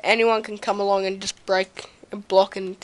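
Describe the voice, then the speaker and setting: A young woman with a slight cold, slowly touring her game server with many pauses and fillers. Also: mid-range voice, flat delivery, dry recording, rough recording. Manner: hesitant, many ums, slow and rambling. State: slight cold, runny nose and cough. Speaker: server owner giving a tour. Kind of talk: casual gameplay commentary. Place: home room at a computer. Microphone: desk mic near the keyboard, typing audible.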